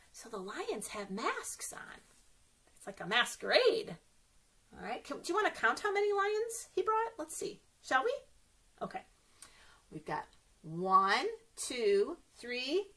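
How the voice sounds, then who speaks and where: A woman's voice: a little high, sharp tone. A woman reading a picture book aloud for young children as a story time host, playfully exclaiming and asking her listeners questions.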